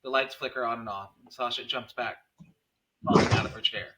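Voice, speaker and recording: A man's voice: mid-range voice, fairly even intonation, fairly quick, sharp tone, poor audio. A man reading scene headings and stage directions as narrator at a horror script table read.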